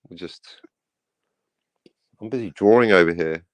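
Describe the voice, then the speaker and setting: A man's voice: low voice, a little slow, dry recording, muffled recording. A man bantering on a livestream in a teasing, wry, mock-grumpy way.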